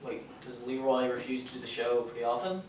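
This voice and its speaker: A man's voice: medium pitch, a little fast, darkish tone, natural voice. Timid, self-doubting male writer, played by a man, hesitant delivery, stage play, distant noisy pickup. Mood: self-deprecating, doubtful. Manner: hesitant, stammering, apologetic. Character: timid, self-deprecating aspiring male scriptwriter, easily flustered. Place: theater stage, distant mic. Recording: echoey stage recorded from afar, noisy.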